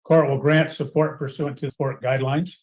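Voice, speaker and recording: A man acting as judge in a court hearing, reading out rulings in a formal, measured way. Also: fairly high pitch, flat delivery, darkish tone. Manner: measured, formal, reads out orders.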